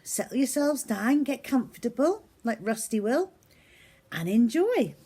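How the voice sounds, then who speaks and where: A woman's voice: a little high, big pitch swings, measured pace, warm tone, slightly rough. A woman reading a children's story aloud, addressing young listeners simply and directly like a primary teacher.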